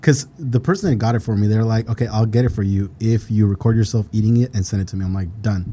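A man's voice: fairly low pitch, fast talker, mellow, slight background noise. A man from San Jose, a podcast guest telling stories bluntly and casually. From San Jose, California. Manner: storytelling, blunt, casual swearing. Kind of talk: casual chat.

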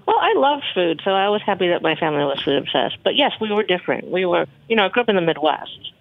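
A guest chef from Michigan talks in a loose, rambling way, full of ums and restarts. The voice has a gravelly fry and sits mid-range. It comes through a tinny, dry phone line. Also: medium pitch, big pitch swings, fast talker, dry recording. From Michigan, USA. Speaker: chef and cookbook author, guest. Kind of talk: casual interview answers.

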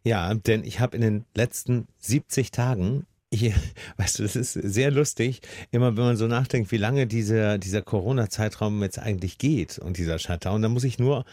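Male podcast host, dry close mic, mid voice, chatty and teasing, quick to laugh. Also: on the low side, dry recording. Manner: warm-toned teasing, laughs often, chatty. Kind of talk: daily podcast interview.

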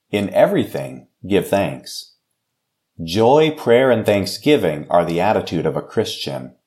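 American man, low voice, widely varied intonation, pastor, solemn formal devotional delivery. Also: a little mellow. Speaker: pastor and podcast host. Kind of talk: devotional scripture reading, short sermon and prayer.

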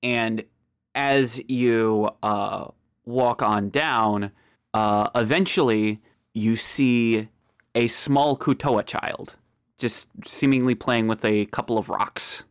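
A man narrating a tabletop game as game master, relaxed and hesitant with many fillers. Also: mid-range voice, slow, clear, resonant tone, dry recording, studio quality.

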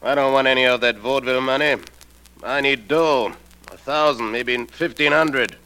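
A man's voice: medium pitch, steady tone, normal speed, bright, forceful tone, clear, resonant tone, poor audio. An actor playing a smug, insinuating male blackmailer in an old radio drama. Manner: pointed, insinuating. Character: smug, scheming male blackmailer demanding money. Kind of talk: 1940s radio acting.

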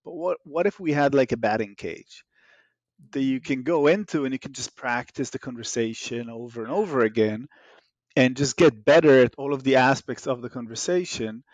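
A man's voice: mid-range voice, normal speed, no echo, low-quality recording. A man from Israel with an Israeli accent, a startup founder talking animatedly in an interview, restarting often with fillers. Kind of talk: casual interview talk.

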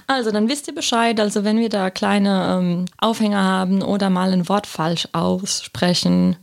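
A woman's voice: mid-range voice, fairly even intonation, normal speed, creaky. A woman with a Palatinate German accent, co-hosting a casual podcast about the Bible and thinking aloud with fillers and restarts. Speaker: podcast co-host.

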